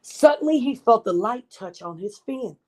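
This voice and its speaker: A woman's voice: fairly high pitch, expressive, normal speed. A woman reading a children's story aloud expressively, with asides to her young listeners.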